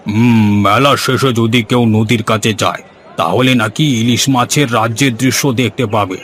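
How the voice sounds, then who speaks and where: A man's voice: mid-range voice, fairly even intonation, measured pace, fairly bright tone, a little hiss or hum, lightly acted. A man playing a villager who passes on eerie local tales in a scripted cartoon. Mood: mysterious.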